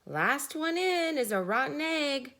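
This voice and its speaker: Lady doing a tiny polite storybook chick, chirpy, bright, lively pitch. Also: slow, heavily acted. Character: small, polite, cheeping young chick.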